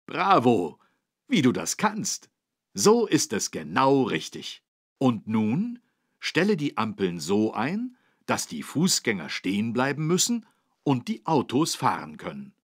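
A man's voice: mid-range voice, wildly swooping pitch, slow pace, no room sound, clean studio sound, heavily acted. A man playing a friendly, helpful policeman who explains things simply to small children in a German cartoon game. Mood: encouraging. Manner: didactic, clearly phrased for small children. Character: friendly, helpful adult male policeman teaching children road safety.

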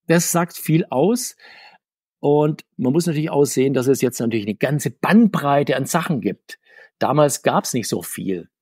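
Male interview guest, husky tone, talkative and self-correcting, dry close sound. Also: mid-range voice, darkish tone, no echo. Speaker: guest, comics author. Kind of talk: conversational podcast interview.

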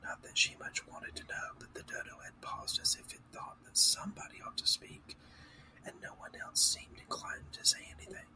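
A man reading a children's story aloud as narrator in a breathy, unvoiced whisper. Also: very high-pitched, animated, normal speed, sharp tone, rough recording.

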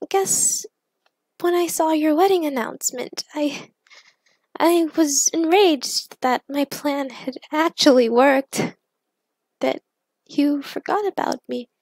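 A woman voicing a young superheroine in an audiobook, confessing to her lost love in a halting, emotional, pleading way. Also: high-pitched, a little mellow, dry recording, voice pushed up, rough recording. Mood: regretful.

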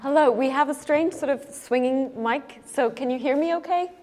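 A woman in her thirties with a soft voice talks informally to an audience at a stand mic, telling a story with casual fillers. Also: high-pitched, normal speed, in a large hall, over a noisy crowd, very noisy. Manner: chatty, informal, many fillers. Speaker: writer leading an artist talk. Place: gallery room, seated at a table.

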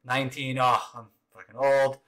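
Animated late-twenties man ranting playfully. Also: mid-range voice, moderate pace. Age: late 20s. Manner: animated, emphatic, jokey complaining. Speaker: co-host of a gaming channel. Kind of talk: casual chat while gaming.

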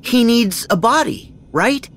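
A man playing an eager, boyish teenage hero in a video game, inquisitive, with a husky voice. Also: extremely high pitch, expressive, slow pace, fairly bright tone, full character voice. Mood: eager, curious. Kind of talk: animated video game dialogue. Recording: music under the voice.

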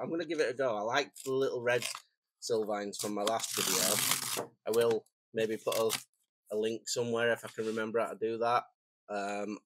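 A man, croaky and phlegmy from a cold, chatting casually and rambling through a stationery unboxing at his desk. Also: mid-range voice, background noise. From England. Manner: rambling, self-deprecating, chatty asides. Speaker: stationery vlogger. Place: home desk, indoors.

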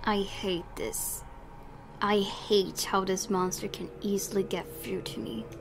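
A woman's voice: medium pitch, steady tone, measured pace, vocal fry, music in the background. A woman hosting a gaming video, reading visual novel narration aloud with dramatic delivery and casual asides.

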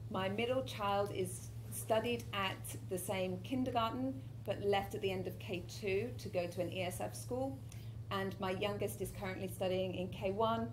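A woman moderating a parent panel in a lecture hall, brisk and quick to laugh. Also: medium pitch, background noise. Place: lecture hall stage before an audience.

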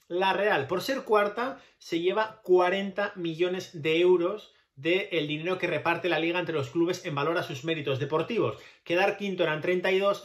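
A man in his thirties with a Spain Spanish accent, giving casual, opinionated, digressive commentary to camera as a channel host. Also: a little high. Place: small plain indoor room with pale walls.

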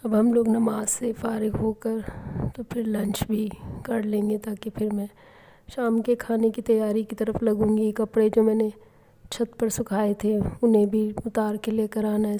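A middle-aged woman calmly narrating her home cooking and daily routine vlog. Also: mid-range voice. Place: home kitchen and rooms.

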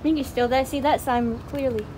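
Chatty higher-voiced woman outdoors. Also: fairly even intonation. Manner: chatty, quick to laugh. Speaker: exploring companion. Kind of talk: casual exploring chat. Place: outdoors by a closed store, parking lot. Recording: outdoor traffic noise.

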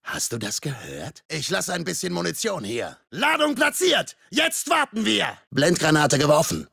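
An actor playing a menacing, sadistic masked slasher killer in a video game, raspy, with a taunting, sardonic manner. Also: male voice, a little high, huge pitch swings, moderate pace, bright, forceful tone, no echo, studio quality, exaggerated voice. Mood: urgent, loud. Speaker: game character calling out combat lines. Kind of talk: video game combat voicelines in German.

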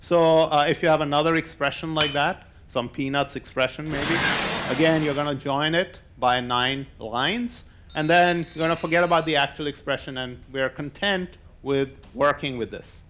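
A man's voice: fairly high pitch, steady tone, normal speed, a bit bright, a little hiss or hum. An older man giving an informal explanatory lecture, talking conversationally and laying things out step by step. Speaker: mathematician and novelist giving a public lecture. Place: indoor lecture hall, on stage.

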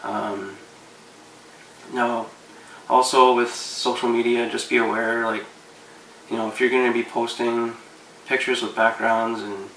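A man in his thirties giving relaxed, rambling fishing advice to camera from his living room couch, with lots of fillers. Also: medium pitch, unhurried, pauses often, echoey room, far from the mic, a little hiss or hum, low-quality recording. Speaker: fishing vlogger.

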